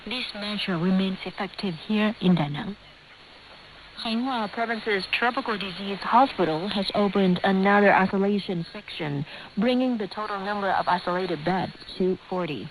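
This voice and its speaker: A woman's voice: mid-range voice, normal speed. A woman with a Vietnamese accent presenting scripted radio news in English as a non-native speaker, a native speaker of Vietnamese. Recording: noisy hissing shortwave radio broadcast.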